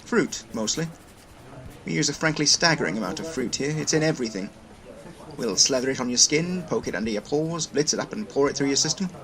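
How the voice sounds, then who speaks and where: An actor playing a brisk, wordy station logistics manager in a sci-fi audio drama, explaining things with dry wit. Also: male voice, medium pitch, animated, fairly bright tone. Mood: wry, expansive. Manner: chatty, wry, long-winded explanations.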